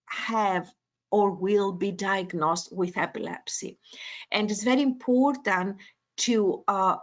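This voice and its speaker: A woman from Greece with a Greek accent, a neurologist giving a medical lecture webinar over a video call, pausing often on uh and um. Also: medium pitch, muffled recording. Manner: hesitant, many uh and um fillers.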